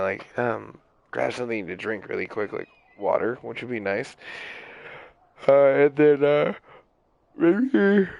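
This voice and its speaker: Raspy, sick young man, rambling. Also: mid-range voice, no echo, highs cut off. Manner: rambling, full of "like" fillers and casual swearing. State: sick, hoarse. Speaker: game streamer. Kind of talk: casual chat.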